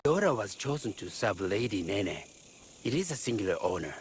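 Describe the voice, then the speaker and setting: A man's voice: lowish voice, sing-song, normal speed, soft background music. An actor playing a humble, fussy village father in a video game, polite, formal and ingratiating as he wheedles. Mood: pleading. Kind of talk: game dialogue acting.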